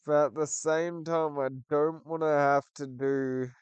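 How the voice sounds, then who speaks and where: A sick man whose voice is starting to go talks slowly and hesitantly, rambling as he thinks aloud. His tone stays smooth and clear despite this. The recording is dry and a little dull. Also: a little high, steady tone, no echo. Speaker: gaming channel host. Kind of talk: casual chat to camera.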